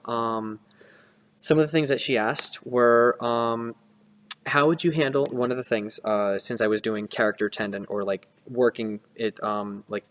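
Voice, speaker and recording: Young man chatting in a reverberant room. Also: medium pitch. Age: young adult. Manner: rambling, conversational, self-interrupting. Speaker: student vlogger. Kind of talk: casual first-person advice and recounting. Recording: reverberant room with long echo.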